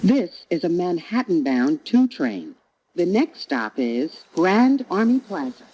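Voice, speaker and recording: A woman reading scripted transit announcements as a train announcer, in measured, separate phrases. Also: lowish voice, big pitch swings, warm tone, dull audio. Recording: recorded train announcement with clear noise.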